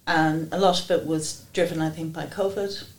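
Woman in her fifties, low and flat delivery, echoey room. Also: normal speed. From Jersey. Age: 50s. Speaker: guest. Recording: echoey room with faint noise.

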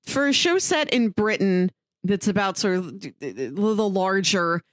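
A woman's voice: mid-range voice, expressive, measured pace, no room sound, studio quality. A woman co-hosting a review podcast, chatting casually about audio fiction.

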